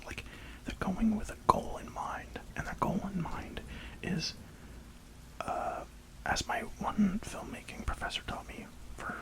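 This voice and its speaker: Casting: whispered ASMR reviewer, breathy with gravelly fry, enthusiastic, loose rambling delivery with emphatic repeats. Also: medium pitch, poor audio. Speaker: film reviewer.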